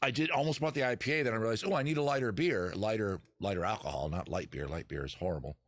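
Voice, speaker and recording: A man's voice: lowish voice, fast talker, no echo. A man hosting a live music and chat show, rambling casually to viewers in a self-deprecating way and swearing freely. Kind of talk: casual livestream chat with viewers, some improvised singing. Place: at home in the backyard.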